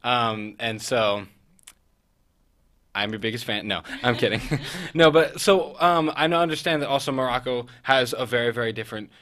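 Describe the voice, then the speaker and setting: A man's voice: medium pitch, moderate pace, a bit bright. A young man hosting a youth interview show, joking and hesitant, with lots of fillers. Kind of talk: casual interview.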